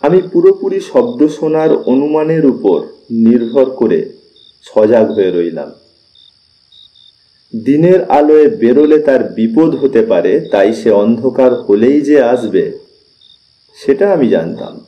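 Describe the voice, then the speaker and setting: A man narrating a scripted suspense story in a measured, deliberate storytelling manner, with pauses. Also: mid-range voice, lively intonation, highs cut off. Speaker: audiobook narrator.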